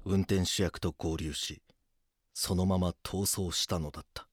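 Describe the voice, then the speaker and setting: An actor narrating a crime docudrama as a grave police detective, in a measured, scripted delivery. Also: male voice, deep voice, steady tone, a little hiss or hum. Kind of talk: scripted dramatic documentary narration.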